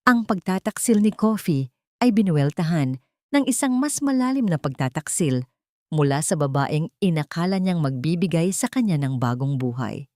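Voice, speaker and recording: A woman's voice: deep voice, animated, normal speed, a little mellow, dry recording, clean studio sound. A woman narrator reading a scripted audio drama in a dramatic storytelling style.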